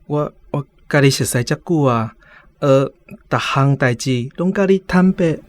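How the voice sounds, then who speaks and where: A man's voice: mid-range voice, a little slow, natural voice. An actor playing an awkward, calculating doctor courting a woman in a radio drama, with a hesitant, hedging way of talking. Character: awkward, calculating male doctor, a picky suitor. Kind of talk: Taiwanese radio drama acting.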